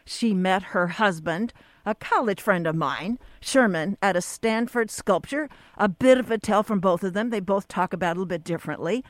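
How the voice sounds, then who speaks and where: A woman's voice: mid-range voice, moderate pace, dry recording. A woman hosting a radio talk show, reading her guest's introduction and then interviewing her.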